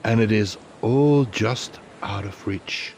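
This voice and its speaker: A man narrating a guided meditation in a soothing, deliberate way, leaving pauses between phrases. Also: on the low side, a little mellow, faint music behind.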